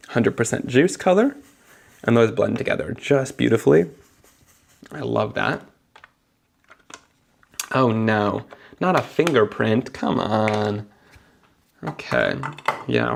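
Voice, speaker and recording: A man's voice: mid-range voice, gravelly, a little hiss or hum. A young man, sniffly after being sick, chatting enthusiastically as he narrates each step of a live card-making tutorial.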